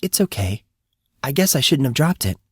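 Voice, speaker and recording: A man voicing a cool, intense teenage girl fighter with a curt, steady manner in an audiobook drama. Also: mid-range voice, moderate pace, husky, no room sound, clean studio sound, voice pushed up.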